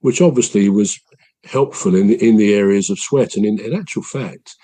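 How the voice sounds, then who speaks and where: A man with a deep voice, hosting a podcast and explaining things at length in a casual, measured way. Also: dark tone. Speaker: podcast host.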